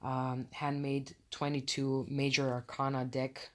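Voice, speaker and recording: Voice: medium pitch, monotone, a little slow, a bit bright. Solo podcast host with a Croatian accent, chatty and effusive, non-native English, a touch self-conscious. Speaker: podcast host, tarot reader. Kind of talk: casual solo podcast chat.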